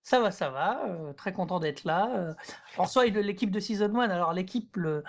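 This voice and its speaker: A man's voice: sits high, animated, moderate pace. A man co-hosting a podcast in casual chat, hesitant and often correcting himself. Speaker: podcast co-host.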